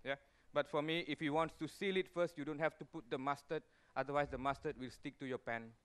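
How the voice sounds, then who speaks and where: A man's voice: a little high, fairly even intonation, brisk, clear, resonant tone. A man, an executive chef talking through a live cooking demonstration, chatty and full of fillers and restarts. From Malaysia. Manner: chatty, explains steps with many fillers and restarts.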